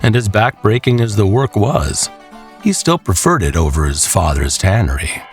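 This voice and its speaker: A man narrating a scripted horror tale in a dramatic, measured way with pauses. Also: on the low side, animated. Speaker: storyteller. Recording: music behind the voice. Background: clear background music.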